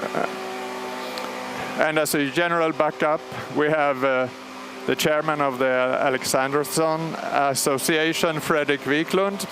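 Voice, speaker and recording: A man with a Swedish accent calmly explaining, step by step, how an old radio transmitter is started, pausing often with fillers. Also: fairly high pitch, background noise. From Sweden. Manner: measured, explanatory, frequent pauses and fillers. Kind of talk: live technical commentary. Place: large machine hall at a radio station. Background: humming machinery and alarm bells.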